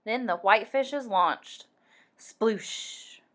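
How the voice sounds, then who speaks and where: Slow, bright-voiced woman reading a storybook. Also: on the high side. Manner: animated, deliberate, acts out sound effects and dialogue. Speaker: children's book reader. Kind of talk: expressive read-aloud storytelling for young children.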